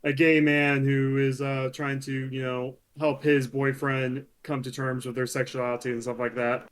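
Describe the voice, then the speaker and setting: A man's voice: mid-range voice, flat delivery, normal speed, smooth. A man on a panel, explaining things in casual conversation.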